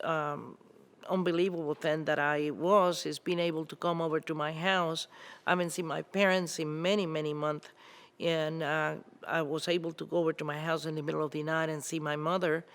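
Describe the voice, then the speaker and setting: A woman in her seventies from Cuba with a Cuban Spanish accent, recounting personal memories in an interview in an earnest, measured way. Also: fairly low pitch. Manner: earnest, measured storytelling with pauses. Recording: long hall reverb.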